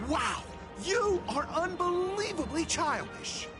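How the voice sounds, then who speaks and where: A woman voicing an excitable, scolding young comic-fan girl in a video game, with music behind. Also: very high voice, slow pace, full character voice. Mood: indignant.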